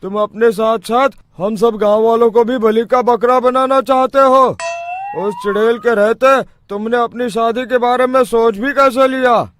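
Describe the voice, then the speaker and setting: A man's voice: very high voice, fairly even intonation, unhurried, noisy background. A man voicing a reproachful, exclamatory villager in a cartoon story. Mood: scolding alarm. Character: scolding male villager friend in a cartoon.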